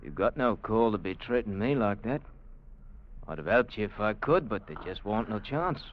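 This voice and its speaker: An actor playing a downtrodden, resentful little underling in a 1940s radio drama. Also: male voice, on the low side, lively intonation, moderate pace, natural voice. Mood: whining, aggrieved. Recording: muffled, hissy 1940s radio recording.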